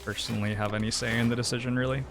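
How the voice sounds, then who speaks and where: A man's voice: mid-range voice, flat delivery, racing, over music. A man from New Zealand, a game streamer, chatting with viewers in a thoughtful, hesitant, musing way. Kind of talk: casual livestream chat.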